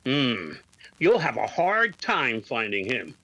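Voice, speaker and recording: A man's voice: medium pitch, sing-song, slow pace, bright, forceful tone, exaggerated voice, rough recording. A man playing a hearty, emphatic police superintendent in an old-time radio adventure. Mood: hearty, assured.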